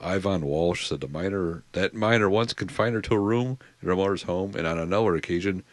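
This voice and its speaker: A man's voice: sits low, brisk, no echo. A man co-hosting a podcast, chatting casually with crude jokes and frequent laughter. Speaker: podcast co-host. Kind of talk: casual comedic chat.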